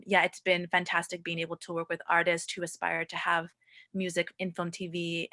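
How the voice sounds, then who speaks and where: A woman in her thirties introduces herself on a video call, gracious and polished. Her voice is low and flat but bright and tense, with a dry, close sound. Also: no echo. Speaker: music publishing professional. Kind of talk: casual self-introduction. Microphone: webcam on video call.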